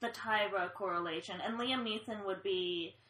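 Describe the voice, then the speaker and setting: Wry young woman, bright voice, echoey. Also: mid-range voice, moderate pace. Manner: wry, deadpan jokes, giggles. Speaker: co-host of a movie chat. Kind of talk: casual chat. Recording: echoey room.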